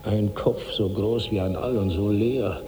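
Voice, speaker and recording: An actor in a 1960s radio drama playing an obese, sickly, hungry old megalomaniac who speaks theatrically in broken, imperious phrases. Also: male voice, lowish voice, fairly even intonation, measured pace, mellow, natural voice. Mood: suffering, brooding. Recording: hissy old radio recording, long reverb.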